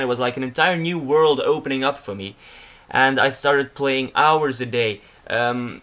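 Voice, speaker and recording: A young man with a clear Flemish accent talks casually in English, his second language, with a bright, forward voice. He rambles, restarts his sentences and fills gaps with "uh" and "yeah", at a mid pitch. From Belgium. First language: Dutch. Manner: rambling with frequent restarts and fillers. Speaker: YouTuber. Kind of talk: casual vlog narrating a slideshow.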